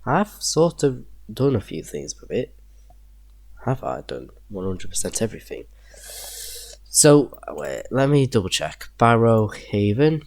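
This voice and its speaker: A man's voice: fairly low pitch, a little mellow. A man, muttering to himself as he checks things aloud while playing a railway game. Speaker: gaming video creator. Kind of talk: casual commentary while playing a rail sim.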